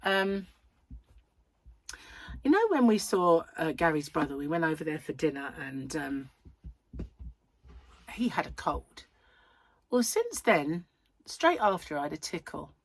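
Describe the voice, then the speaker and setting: A woman hosting a crochet channel, chatting in a rambling, self-mocking way at home while her voice is croaky from a cold. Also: deep voice, big pitch swings, a little slow, long pauses. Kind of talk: casual chatty vlog. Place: at home, seated in a chair.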